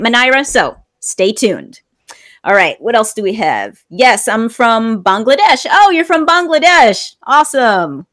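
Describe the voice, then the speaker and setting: A woman's voice: on the high side, a bit bright. Encouraging woman teaching English online. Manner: encouraging, explains slowly and repeats phrases. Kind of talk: live online class teaching.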